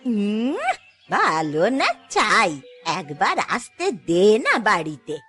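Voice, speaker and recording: Spiteful, wildly swooping village aunt. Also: female voice, medium pitch, sing-song, pushed and bright, faint music behind, full character voice. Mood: gloating. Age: older. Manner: gloating, scolding, drawn-out. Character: spiteful, gossiping older village aunt who relishes trouble. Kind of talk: Bengali cartoon voice acting.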